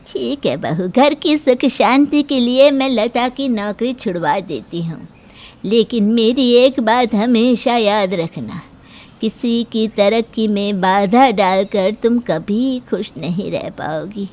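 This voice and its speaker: A woman voicing a stern but fair middle-aged Indian mother-in-law in a moral-story cartoon, speaking in a measured, admonishing way. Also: fairly high pitch, darkish tone. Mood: grave, reproving.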